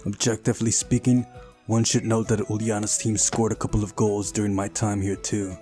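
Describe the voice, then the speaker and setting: Mellow, low-voiced man narrating a game. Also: level tone, moderate pace, faint music behind. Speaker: let's player reading a visual novel aloud. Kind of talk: narrated game playthrough with casual asides.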